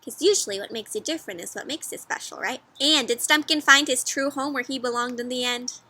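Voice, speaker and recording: A woman with a high, bright voice hosts a storybook read-aloud for young children. She speaks expressively, with animated emphasis and wondering questions. Her delivery is encouraging, like a teacher guiding small listeners through a book. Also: fairly quick.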